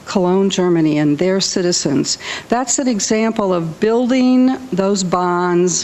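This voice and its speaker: Woman giving a welcome speech, echoey hall. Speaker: library host introducing speakers at a launch event. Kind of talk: prepared welcome speech at a public event. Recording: echoey hall, rumbling room noise.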